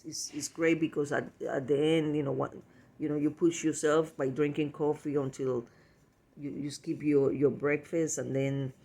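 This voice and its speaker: A man chatting casually in a personal vlog, halting often as he repeats and corrects himself. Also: fairly high pitch, dark tone. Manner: halting, repetitive, self-correcting delivery. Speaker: vlogger.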